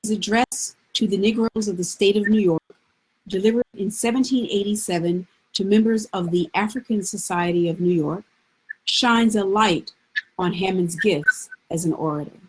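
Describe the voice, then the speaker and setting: A woman presenting literary history in measured, scripted narration. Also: mid-range voice, breathy edge, low-quality recording.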